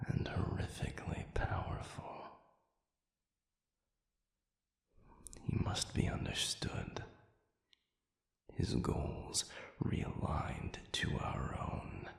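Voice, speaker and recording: An ancient demon speaks low and extremely slowly in a roomy, echoing space. The delivery is a breathy, gravelly half-whisper, formal and grave, as in a dark fantasy audio drama. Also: male voice, full character voice. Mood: solemn, pleading. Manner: slow, halting, solemn, formal diction. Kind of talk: dramatic audio roleplay monologue.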